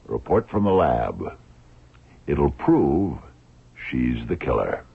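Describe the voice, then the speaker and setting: A shrewd, relentless police detective from a radio mystery, with an extremely deep, gravelly voice that rises and falls freely. He questions deliberately, leaving pauses, through a thin, muffled vintage broadcast sound. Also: sing-song, heavily acted. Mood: confident. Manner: probing, deliberate, pausing questioner. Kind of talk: old-time radio drama acting. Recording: muffled, narrow-band old radio recording.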